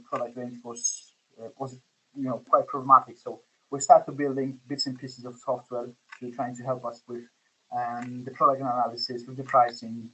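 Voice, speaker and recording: A man's voice: mid-range voice, sharp tone, rough recording. A man in his forties with a Polish accent, a startup founder answering interview questions and hesitating often with fillers. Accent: Polish, with some Scottish influence. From Poland. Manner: hesitant, many fillers and restarts. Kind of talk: podcast interview.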